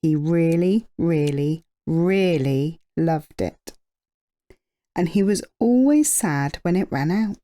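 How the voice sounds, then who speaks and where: A woman storyteller reading a children's picture book expressively, with drawn-out emphasis and dramatic pauses. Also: low voice, lively intonation, mellow.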